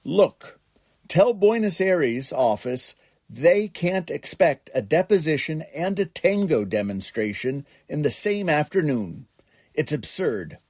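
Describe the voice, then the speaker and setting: A man's voice: on the high side, darkish tone, no room sound, clean studio sound, pitched above the performer's own voice, exaggerated voice. A man voicing an exasperated, self-important raven barrister in a children's storybook, speaking in a brusque, clipped way. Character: busy, exasperated male raven barrister on a call.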